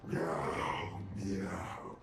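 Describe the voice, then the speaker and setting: A menacing, cryptic game villain in a low, gravelly voice. His ominous lines come out extremely slowly and haltingly, echoing over noisy ambience. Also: fairly even intonation, full character voice. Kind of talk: game voice acting. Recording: roomy echo, noisy ambience.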